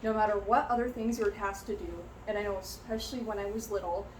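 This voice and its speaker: A young woman playing a heartfelt, grateful young vampire clan heir, giving a measured, earnest prepared speech in character. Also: medium pitch, steady tone, natural voice. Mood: sincere, grateful. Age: young adult. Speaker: student giving a class speech. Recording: roomy echo, light background noise.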